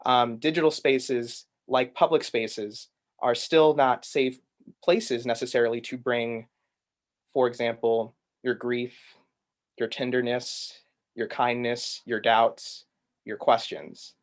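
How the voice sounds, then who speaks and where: A man answering a question in an online panel, talking in a measured, searching way and often repeating words as he thinks. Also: mid-range voice, steady tone. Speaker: poet reading panelist. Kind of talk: thoughtful spoken answer in a panel Q&A.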